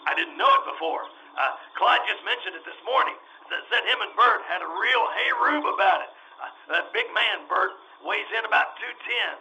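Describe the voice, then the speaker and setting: A man's voice: sits high, moderate pace, sharp tone, a little hiss or hum, full character voice, poor audio. A man playing a nervous, folksy, evasive but chatty small-time carnival man in old-time radio drama style, stammering and repeating words. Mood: chatty, defensive.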